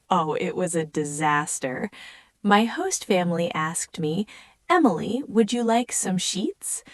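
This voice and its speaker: German-native woman hosting an english learner podcast, super slow and clear, friendly, dry close sound. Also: lowish voice, lively intonation, no room sound. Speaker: podcast co-host. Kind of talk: scripted learner podcast chat.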